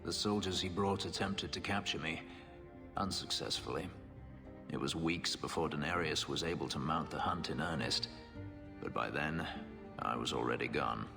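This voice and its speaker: A man acting a brooding, guarded former slave in a video game, speaking gravely in measured, halting confession. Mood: regretful, confessional. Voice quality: low, grave voice. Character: brooding male elf fugitive, a former slave, bitter and guarded. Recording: long reverb, music behind the voice. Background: music.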